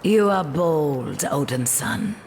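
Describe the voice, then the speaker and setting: A woman's voice: deep voice, animated, takes it slowly, darkish tone, slight background noise, heavily acted. A woman playing an imperious, scheming goddess queen of the underworld in a video game, speaking in a haughty, menacing way. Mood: haughty menace. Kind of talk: theatrical video game voice acting.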